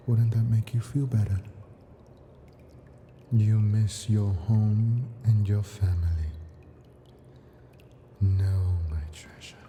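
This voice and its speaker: Man acting as an ancient male dragon, very dark mellow tone, unhurried, doting yet menacing, imperious, ASMR fantasy roleplay. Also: on the low side, a little hiss or hum. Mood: possessive, soothing. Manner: slow, coaxing, imperious, formal storybook diction.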